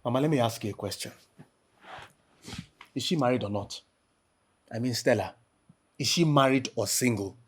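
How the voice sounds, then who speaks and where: A man with a husky voice playing an aggrieved, argumentative husband in a film drama. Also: mid-range voice, moderate pace, long pauses, natural voice. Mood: defensive, exasperated. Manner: argumentative, rhetorical questions. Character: aggrieved husband pleading his case to his mother.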